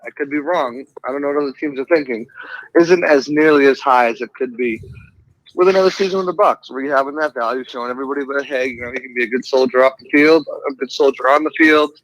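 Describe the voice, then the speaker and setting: A man's voice: fairly high pitch, fairly quick, fairly bright tone, no echo, low-quality recording. A man with a Boston accent, giving casual sports commentary as a guest analyst.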